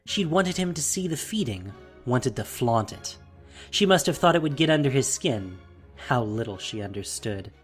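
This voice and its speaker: A man narrating a scripted fantasy story in a measured, dramatic way. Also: medium pitch, lively intonation, soft background music.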